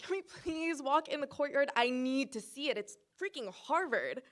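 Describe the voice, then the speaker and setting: A woman's voice: high voice, normal speed, voice pushed up. A woman acting out a squealing, starstruck young sightseer. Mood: thrilled. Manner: squealing, breathless excitement. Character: starstruck young woman seeing Harvard, gushing. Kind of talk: acted quote in a staged personal story.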